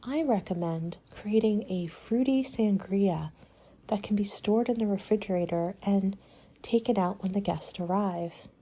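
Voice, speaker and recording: A woman playing a courteous, helpful party planner in a soft-spoken ASMR roleplay, with a measured, polite, advisory manner and soft, breathy delivery. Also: mid-range voice. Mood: calm, helpful.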